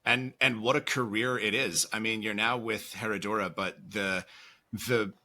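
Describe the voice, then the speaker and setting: A male podcast co-host with a strikingly bright, forward tone and a lowish pitch. He riffs in a jokey, profane way before he brings the guest in. His talk is loose and conversational. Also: steady tone. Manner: jokey, profane, fast asides. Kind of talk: casual podcast chat.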